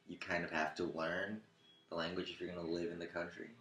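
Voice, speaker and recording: A man's voice: deep voice, little pitch movement, brisk, a bit bright. A man giving casual answers in an interview. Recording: echoey room, faint noise.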